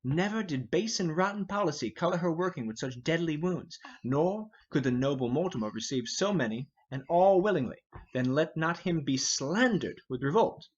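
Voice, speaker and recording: A man's voice: fairly high pitch, lively intonation, moderate pace, breathy edge, lo-fi sound. A man playing a hot-headed, indignant young warrior nobleman in Shakespearean verse, impetuous, scornful and declamatory. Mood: passionate protest. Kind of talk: Shakespearean verse drama reading.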